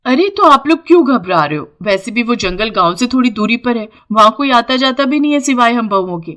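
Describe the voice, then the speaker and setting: A woman voicing poor, resourceful young village daughters-in-law in an animated moral story, expressive and full of exclamations. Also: fairly high pitch, brisk. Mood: persuasive.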